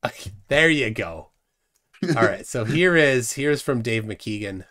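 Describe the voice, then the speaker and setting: A middle-aged man hosting a casual livestream from a room at home, scornful and animated, often trailing off quietly. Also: mid-range voice, unhurried. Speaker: host.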